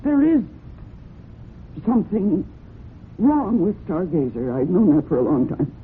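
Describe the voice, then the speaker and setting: Young woman, proud, protective, firm yet pleading, slow delivery, radio drama, hissy narrow-band recording. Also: fairly low pitch, big pitch swings. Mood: anguished, pleading. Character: proud, protective young woman horse owner, grieving daughter. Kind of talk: old-time radio drama acting. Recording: muffled, noisy old radio broadcast.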